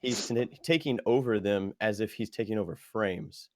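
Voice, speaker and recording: A man's voice: lowish voice, moderate pace, dry recording, clean studio sound. A man in a casual panel chat, thinking aloud in loose, rambling sentences. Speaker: podcast panelist. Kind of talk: casual group discussion.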